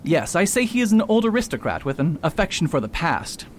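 A man's voice: fairly high pitch, big pitch swings, a little fast, slight background noise. A man playing a confident detective doctor in an amateur radio drama, laying out precise deductions. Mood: self-assured. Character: confident middle-aged male detective doctor.

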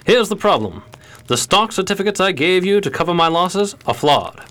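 Suave gentleman schemer, slightly high voice, very expressive, polished, 1920s radio drama. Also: moderate pace. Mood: earnest, scheming. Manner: polished, courteous. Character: suave, dapper 1920s gentleman gambler, an embezzler.

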